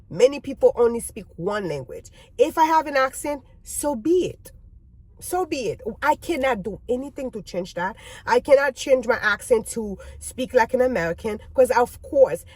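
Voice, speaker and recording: Higher-pitched woman with French accent. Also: no room sound. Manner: emphatic, repeats phrases for stress. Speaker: video creator. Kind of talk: candid personal vlog monologue to viewers.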